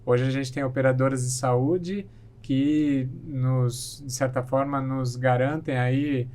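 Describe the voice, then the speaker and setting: A man talking as a guest on a podcast, with a voice of average pitch. He speaks thoughtfully and hesitantly, often restarting mid-sentence as he works out his point. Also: fairly even intonation, slow, a little mellow. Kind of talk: casual chat.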